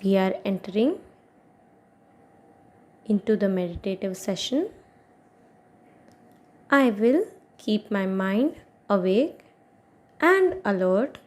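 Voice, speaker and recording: A woman guiding a meditation as an instructor, giving soothing instructions in short phrases with long pauses between them. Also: medium pitch, expressive, a little mellow. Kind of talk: guided meditation, yoga nidra narration.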